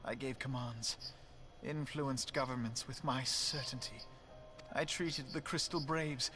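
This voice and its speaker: A man's voice: a little high, a bit bright. An actor playing a proud young nobleman full of remorse, speaking in formal, archaic, theatrical phrases in a fantasy game. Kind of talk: scripted fantasy game voice acting. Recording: music behind the voice. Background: game music.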